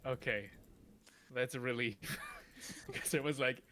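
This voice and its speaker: A man's voice: medium pitch, measured pace, bright, forceful tone, a little hiss or hum. A man chatting casually and jokingly as he comments on a friend's game stream. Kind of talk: casual chat.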